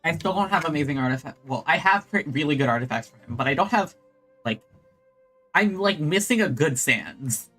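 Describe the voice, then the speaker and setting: Bright, animated male game streamer. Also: on the high side, faint music behind. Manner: halting, repeats phrases. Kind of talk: casual live gameplay commentary.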